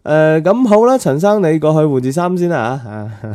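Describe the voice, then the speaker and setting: Man acting animated, slick wedding photographer. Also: a little high, normal speed, close to an everyday voice. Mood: falsely cheerful. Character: chatty, money-minded wedding photographer, slick and skeptical. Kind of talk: Cantonese radio drama acting.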